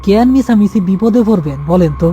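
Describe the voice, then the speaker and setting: A man's voice: high voice, animated, a little fast, mellow, soft background music. A man voicing a frightened, superstitious young village helper in an anxious, pleading way with a rural Bangladeshi accent, in a horror story. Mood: fearful pleading. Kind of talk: audio horror story acting.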